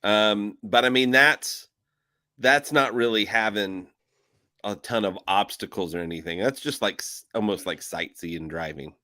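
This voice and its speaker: A man hosting a casual talk show with a lowish, bright, forceful voice. He jokes with energy and repeats phrases as he presses a question, and the sound is close and dry. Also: measured pace, dry recording. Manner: joking, energetic, repeats himself while asking. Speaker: host. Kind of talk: casual podcast chat.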